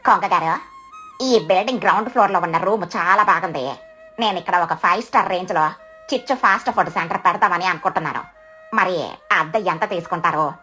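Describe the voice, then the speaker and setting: Woman as a bright, brash mother crow. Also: fairly low pitch, brisk, faint music behind. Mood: eager. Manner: dramatic, brash, scolding. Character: feisty, boastful mother crow street-food seller.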